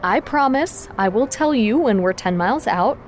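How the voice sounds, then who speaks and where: A woman's voice: a little high, expressive, normal speed, a little hiss or hum, natural voice. A woman playing an easygoing, wry road-trip navigator in a naturalistic audio drama, casual and teasing. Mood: dryly teasing.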